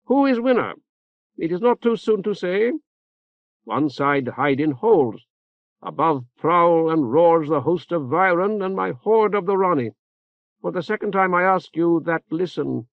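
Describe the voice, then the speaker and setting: A man's voice: on the high side, animated, a little slow, dry recording, pitched above the performer's own voice. An imperious female ruler holds the floor with a raised, lofty voice, as a male narrator puts her on. She orates in broken, ungrammatical English. The recording is dry and a little dull. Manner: grand, speechmaking, ungrammatical English.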